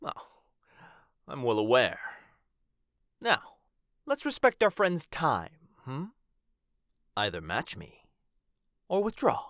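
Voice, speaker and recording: A man's voice: mid-range voice, wildly swooping pitch, slow, pauses often, fairly bright tone, heavily acted. A man playing a suave, playful vampire auctioneer in an audio roleplay, with showy, courteous patter. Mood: smooth, challenging.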